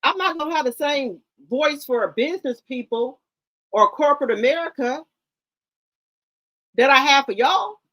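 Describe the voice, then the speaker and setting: A woman's voice: high voice, animated, moderate pace, long pauses, a bit bright, low-quality recording. A middle-aged woman hosting casual commentary, blunt and lecturing, mixing slang into her talk. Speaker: commentary host.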